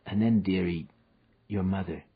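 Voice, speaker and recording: A man playing a weary, husky frontier wanderer. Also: low-pitched, fairly even intonation, a little slow, narrow, tinny audio, full character voice. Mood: gentle. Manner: rustic frontier dialect, soft and patient.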